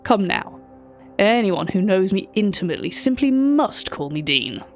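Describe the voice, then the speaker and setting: A woman's voice: mid-range voice, sing-song, normal speed, soft background music, lightly acted. A woman voicing a grand, effusive, imperious old lord in an audio drama, with pompous declamatory delivery.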